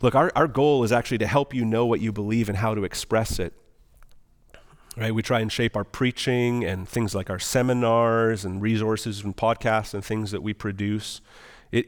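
A man's voice: fairly low pitch, long reverb tail. A man preaching a sermon as pastor, earnest, putting questions to the congregation. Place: church auditorium.

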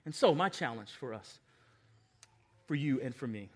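An American man in his forties preaching a sermon as a pastor, emphatic and given to repeated refrains, moving between hushed asides and loud exclamations. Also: medium pitch, lively intonation, normal speed, husky. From Long Island, New York, United States. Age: 40s.

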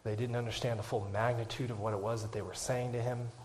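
A male pastor preaching a sermon to his church, swinging from hushed, whispered lines to loud, impassioned climaxes and closing in prayer. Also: medium pitch, flat delivery, fast talker, a little hiss or hum.

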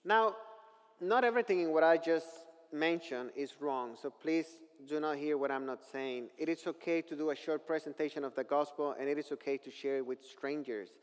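A man's voice: on the high side, normal speed, clean tone. Mexican man preaching in a reverberant hall. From northern Mexico. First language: Spanish. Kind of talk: sermon with scripture reading.

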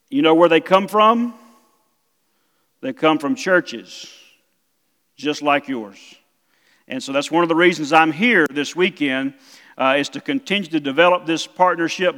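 Middle-aged South Mississippi preacher, halting delivery, slightly high voice, reverberant sanctuary. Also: male voice, a bit bright. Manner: halting, many fillers. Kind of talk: sermon. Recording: church hall reverb.

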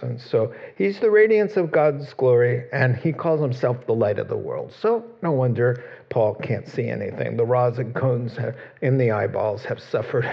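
A man's voice: medium pitch, creaky, muffled recording. A male pastor preaching a sermon in a conversational way, citing scripture and joking with the congregation.

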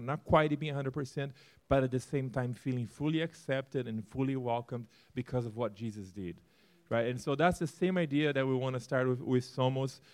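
Brazilian-accented man pitching a church plant. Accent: Brazilian Portuguese. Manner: earnest, animated, self-deprecating humor. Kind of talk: church presentation talk.

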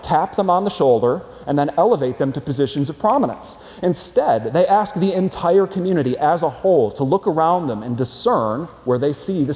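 A man preaches to his congregation from the front of a church, his voice carrying with a long hall reverb. He speaks a little high for a man but with a warm, mellow tone. His delivery is earnest, steady and explanatory, with rhetorical questions to the room. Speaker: pastor. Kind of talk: sermon.

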